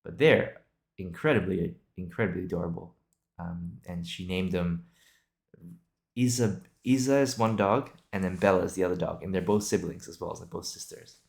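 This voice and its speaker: A man's voice: low voice, moderate pace, vocal fry, darkish tone. A young man from Singapore and Australia chatting casually and unscripted, thinking aloud in a rambling, hesitant way. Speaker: video podcast host. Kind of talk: casual improvised solo chat.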